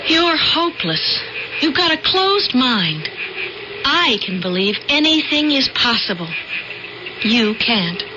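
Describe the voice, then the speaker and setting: A woman's voice: sits high, big pitch swings, slow, heavily acted. An actress playing an earnest, strong-minded young woman in an old radio drama, with a pointed, reproachful manner. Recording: muffled, noisy old radio recording.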